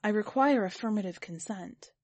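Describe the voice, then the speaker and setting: A woman reads as a calm machine intelligence in an audiobook story. It speaks formally and evenly, with grave weight, in a dry, close recording. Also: medium pitch, moderate pace, slightly rough, no echo, lightly acted. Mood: even, gentle. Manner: formal, even delivery.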